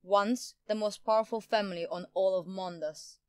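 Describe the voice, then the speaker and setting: A woman's voice: medium pitch, fairly even intonation, moderate pace, a little mellow, clean tone, no room sound, lightly acted. A woman playing a smug, power-hungry politician in an audio drama, pompous and theatrical in manner. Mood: smug, boastful. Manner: theatrical, pompous, self-satisfied. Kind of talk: audio drama villain acting.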